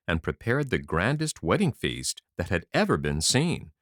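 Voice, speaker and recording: Animated low-voiced man reading a fairy tale. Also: no echo, clean studio sound. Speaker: audiobook narrator. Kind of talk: expressive fairy-tale narration.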